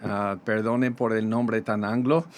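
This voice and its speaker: A middle-aged man with an American accent tinged with Mexican Spanish, speaking as a pastor guest in a radio interview, halting and full of fillers. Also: lowish voice, fairly even intonation. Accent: American with Mexican Spanish touches. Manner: halting, many fillers and restarts.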